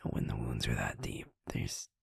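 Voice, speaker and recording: A man playing a sweet, shaken young werewolf boyfriend in an intimate audio roleplay, halting and stammering, with a breathy, husky voice. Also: no room sound, whispered, studio quality, close to an everyday voice. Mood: self-conscious, subdued. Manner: halting, hushed, stammering, sighing.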